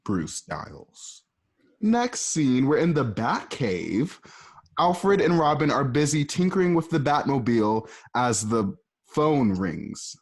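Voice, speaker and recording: A man's voice: medium pitch, very animated, slow pace. A man reading the stage directions of a home-recorded radio play in a plain, matter-of-fact way. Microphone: home microphone.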